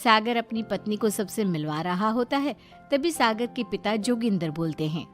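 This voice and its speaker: A woman's voice: mid-range voice, animated, normal speed, faint music behind. A woman reading a scripted moral story as its narrator.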